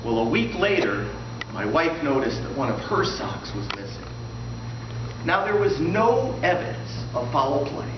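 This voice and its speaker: A man performs comic storytelling live, theatrical and deliberate, with pitch that swings widely and a slow pace full of pauses. He is recorded from a distance in an echoey room, with heavy noise over his voice. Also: very animated, lo-fi sound. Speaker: storyteller in a tall-tale contest. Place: indoor room before a live audience, recorded from afar.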